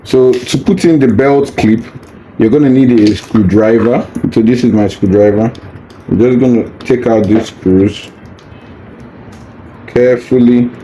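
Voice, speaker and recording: Guy with a soft dark voice calmly explaining setup steps, repeats himself, bit of background noise. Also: medium pitch, measured pace, rough recording. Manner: patient, reassuring, repeats himself. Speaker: product demonstrator. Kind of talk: hands-on unboxing tutorial, casual step-by-step instruction.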